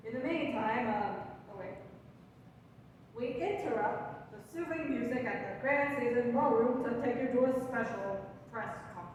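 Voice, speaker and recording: A woman's voice: on the high side, normal speed, close to an everyday voice. A woman playing an earnest old-time radio announcer in a theatrical drama read. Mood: apologetic. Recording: very echoey hall, distant, faint noise.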